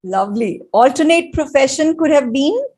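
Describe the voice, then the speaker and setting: A woman hosting an interview, asking rapid-fire questions with giggly, encouraging reactions. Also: high-pitched, lively intonation, a little mellow. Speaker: interview host.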